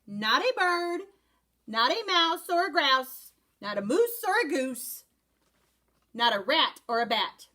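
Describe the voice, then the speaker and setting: A stern mother cat lectures her kitten, as a woman reading aloud puts her on. The voice sits fairly high, with big swoops, and the manner is bossy and emphatic. It is a storybook read to a class. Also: sing-song, slow, sharp tone, heavily acted. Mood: scolding. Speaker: teacher.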